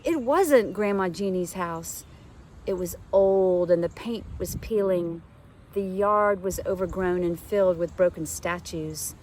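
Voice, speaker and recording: A woman's voice: on the low side, measured pace, darkish tone, a little hiss or hum. A woman reading a children's novel aloud like a teacher, with dramatic, suspenseful expression. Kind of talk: expressive storybook read-aloud.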